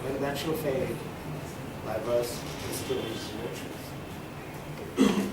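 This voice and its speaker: A man reciting Shakespearean sonnets as a poetry reader, with deliberate, dramatic phrasing and pauses. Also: mid-range voice, fairly even intonation. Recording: roomy, distant, clearly noisy live recording.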